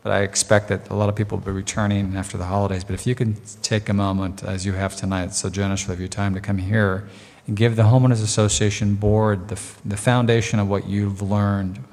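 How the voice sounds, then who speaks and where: A man's voice: on the low side, little pitch movement, fairly quick, few pauses, darkish tone. A man on a community board, speaking at length in an earnest, diplomatic way at a public meeting. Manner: earnest, long-winded, diplomatic. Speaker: community services district board member. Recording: reverberant meeting hall.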